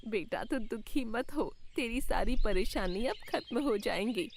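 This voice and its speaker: Woman as kindly, high-voiced magical old granny. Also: expressive, measured pace, creaky, a little hiss or hum. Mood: benevolent, assuring. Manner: soothing, motherly, addresses listener as son. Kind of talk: Hindi cartoon fairytale acting.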